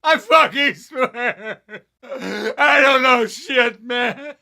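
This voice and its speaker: An actor playing a frantic, defiant criminal thug in a video game, blurting and pleading in a strained, gravelly voice. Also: male voice, very high voice, extremely slow, sharp tone, full character voice. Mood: panicked defiance. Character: panicky, defiant, foul-mouthed male criminal thug.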